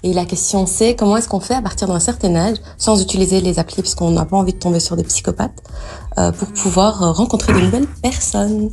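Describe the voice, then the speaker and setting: A woman's voice: mid-range voice, fairly quick, vocal fry, warm tone. A woman in her thirties leaves a hesitant, shy voice message. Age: 30s. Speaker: caller.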